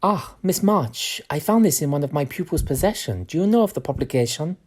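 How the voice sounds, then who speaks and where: A man's voice: a little high, lively intonation, a little mellow. Grave, moral male professor. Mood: grave disapproval. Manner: formal, measured, disapproving. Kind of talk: period audio drama acting.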